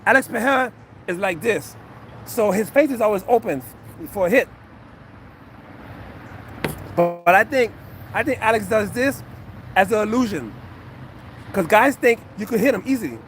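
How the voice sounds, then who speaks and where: A man's voice: high-pitched, animated, moderate pace, long pauses, a bit bright, slight background noise. A man in his fifties, a retired fighter, breaking down a fight casually for a live chat, repeating himself earnestly. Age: 50s. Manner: repetitive, earnest, talks to chat. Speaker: retired fighter and acupuncture physician.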